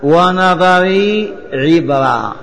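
A man's voice: sits high, extremely slow, vocal fry, a bit bright. A man teaching a religious lesson, chanting the text in a sing-song and having it repeated. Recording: long hall reverb.

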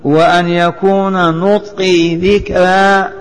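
A man's voice: high-pitched, level tone, takes it slowly, faint music behind. A man teaching a religious lesson, chanting the text in a sing-song and having it repeated. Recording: long hall reverb.

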